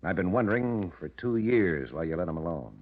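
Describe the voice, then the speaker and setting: An actor playing a sly, needling press agent who goads a gangster, in 1940s radio drama style. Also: male voice, deep voice, lively intonation, a little fast, full character voice. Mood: sly, goading. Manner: insinuating, needling, smooth-talking schemer. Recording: dull vintage radio broadcast.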